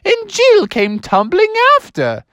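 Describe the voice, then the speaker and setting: A woman's voice: extremely high pitch, huge pitch swings, slow pace, no room sound, highs cut off, pitched above the performer's own voice, exaggerated voice. A woman voicing a fussy, bossy goose substitute teacher in a storybook tale, emphatic and theatrical. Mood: proud, theatrical. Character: fussy, bossy female goose substitute teacher who loves nursery rhymes.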